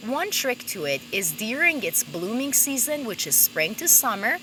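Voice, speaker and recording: A woman's voice: on the high side, big pitch swings, moderate pace, noisy background. A woman from Persia presenting an informal gardening vlog outdoors, upbeat and appreciative in manner. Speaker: plant vlogger and cultivator. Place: outdoors in a sunny garden by a fence.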